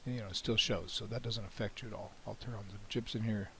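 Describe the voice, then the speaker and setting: A man with a cold, hoarse and often clearing his throat, casually talking through a software demo with many "you know"s and restarts. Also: lowish voice, fast talker, slight background noise. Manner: relaxed, rambling, frequent "you know" and restarts. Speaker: software developer demonstrating his plugin. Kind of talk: screen-recorded software walkthrough.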